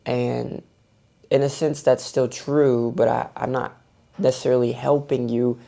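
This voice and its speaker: Soothing young man, gravelly and mellow. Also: medium pitch, little pitch movement, unhurried. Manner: thoughtful, musing, self-searching. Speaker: vlogger. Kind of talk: reflective personal monologue.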